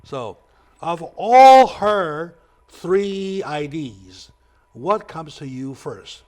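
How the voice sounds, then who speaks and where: A man hosting a talk show interview, speaking with halting, hesitant phrasing. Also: a little high, big pitch swings, a little mellow.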